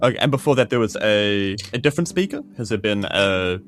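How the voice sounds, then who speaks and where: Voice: mid-range voice, expressive, fast, soft background music, pitched below the performer's own voice, natural voice. A tabletop player with a New Zealand accent voicing an affable adventurer who makes awkward, joking small talk. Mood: curious.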